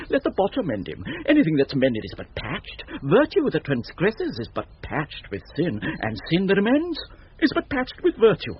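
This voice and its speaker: An actor playing a quick-witted, teasing court fool in 1970s radio Shakespeare, with theatrical, riddling delivery. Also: male voice, a little high, slight background noise. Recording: dull vintage radio recording.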